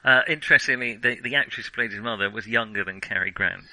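A man's voice: lowish voice, fast talker, pushed and bright, dry recording, low-quality recording. A man chatting casually as a film podcast co-host, in a slightly hesitant, hedging way.

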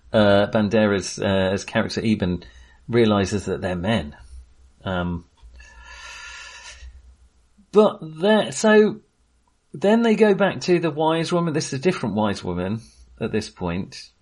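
A man's voice: fairly low pitch, animated, unhurried, long pauses, a little mellow. A man co-hosting a casual film discussion, talking in a hesitant, self-deprecating way. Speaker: podcast co-host reviewing films.

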